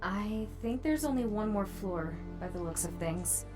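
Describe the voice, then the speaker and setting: A woman's voice: mid-range voice, lively intonation, unhurried, music in the background, natural voice. An actress playing a wary, frightened young woman in an audio drama, hesitant and guarded as she speaks.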